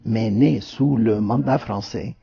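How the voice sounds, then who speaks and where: An older man from Lebanon, an Arabic speaker, giving formal, deliberate interview answers with frequent hesitations. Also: lowish voice, creaky, warm tone, breathy edge, lo-fi sound.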